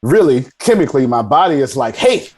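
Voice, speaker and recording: A man's voice: mid-range voice, sing-song, fairly quick, a little mellow, breathy edge. A man around forty telling his story casually as an interview guest, self-deprecating and emphatic, repeating phrases for effect. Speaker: podcast guest, army veteran. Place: indoors on a video call.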